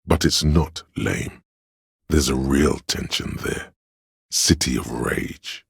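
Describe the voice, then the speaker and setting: An actor voicing a pompous, art-obsessed inner voice in a video game, narrating in a literary, deliberate and theatrical way with a husky, gravelly tone. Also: male voice, rumbling low, expressive, no echo, clean studio sound, exaggerated voice. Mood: wry observing. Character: pretentious art-obsessed inner voice, male, musing and cutting. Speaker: narrator of a character's inner skill voice.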